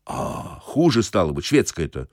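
A man acts out an easygoing workman riding as a passenger in a comic story. He is folksy and sympathetic, with expressive intonation, recorded close and dry. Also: deep voice, unhurried, no room sound, voice pushed down.

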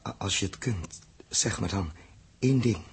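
An actor playing a troubled, melancholy man lost in his memories, halting in speech, in 1960s radio drama style. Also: low-pitched, breathy edge. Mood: forlorn, pleading. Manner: hesitant, halting.

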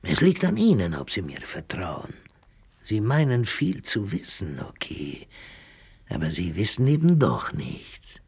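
Husky, sly Russian spy. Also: male voice, low voice, wildly swooping pitch, measured pace, darkish tone, no echo, exaggerated voice. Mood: scheming. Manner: evasive, dismissive, cunning. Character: fat Russian newspaper correspondent and spy, sly and scheming. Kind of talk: German radio drama acting.

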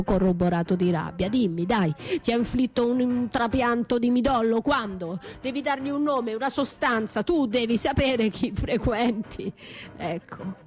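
An actress playing a battered wife left for dead, anguished yet defiant, in a theatrical, poetic radio monologue with drawn-out, breathy delivery. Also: female voice, medium pitch, dark tone, close to an everyday voice. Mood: bitter, accusing, then resigned, hushed. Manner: theatrical, drawn-out, breathy, shifting from whispers to cries. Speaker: stage actress and writer performing a solo radio play.

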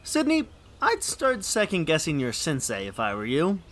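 A man's voice: a little high, huge pitch swings, moderate pace, fairly bright tone. A man playing a grumbling, sarcastic sidekick in a comedy skit, with a dry, complaining delivery. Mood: dry, mocking. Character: grumbling, sunburned, sarcastic male sidekick avoiding sun. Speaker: comic sidekick.

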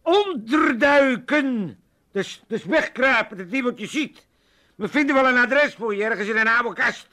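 A man's voice: extremely high pitch, animated, measured pace, pushed and bright, exaggerated voice. An actor playing a grumpy, self-pitying old Amsterdam father, repeating himself and stammering in comic radio drama. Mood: scheming, reluctant. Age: older.

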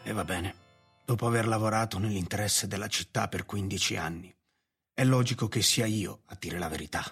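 An actor playing a resolute, nostalgic town official in a radio drama, speaking with solemn deliberation. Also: male voice, fairly low pitch, brisk, fairly bright tone, breathy edge, full character voice. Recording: radio play with music behind. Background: music.

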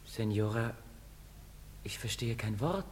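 A man's voice: on the low side, animated, slow. An actor playing a bewildered, earnest man of twenty in a stage drama, speaking in a reserved, questioning way. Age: young adult. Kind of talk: German stage-drama acting.

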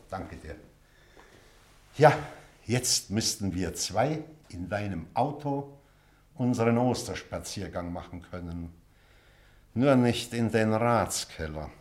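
An actor playing a weary, remorseful old male schoolteacher in a literary drama, pensive and measured, with weighty pauses. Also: lowish voice, lively intonation, darkish tone. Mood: resolute. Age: older. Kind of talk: German literary stage drama.

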